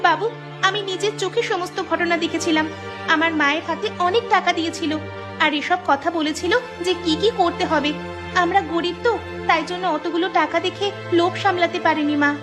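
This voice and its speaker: A woman's voice: very high-pitched, fairly even intonation, moderate pace. A woman voicing an earnest, poor young village girl in a cartoon drama. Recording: loud music behind the voice.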